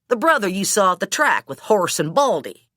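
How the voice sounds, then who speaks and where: A woman's voice: medium pitch, big pitch swings, normal speed, fairly bright tone, no echo, clean studio sound. A woman voicing a hot-headed, swearing man in an audiobook, blustering about his stolen dog. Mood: bitter, sarcastic. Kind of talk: audiobook character dialogue.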